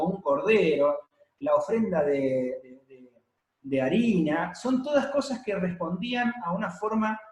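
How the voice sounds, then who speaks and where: Male preacher, higher register, teaching sermon delivery with hesitant pauses, into a mic in a reverberant hall. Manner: explanatory teaching, frequent pauses and fillers. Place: church hall, congregation present and streamed. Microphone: handheld or stand microphone. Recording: echoey room.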